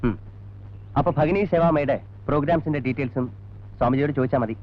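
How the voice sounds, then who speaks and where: An actor playing a brisk, insistent police detective in a 1980s film drama, probing in a businesslike way. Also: male voice, on the high side, close to an everyday voice. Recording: dull old film soundtrack.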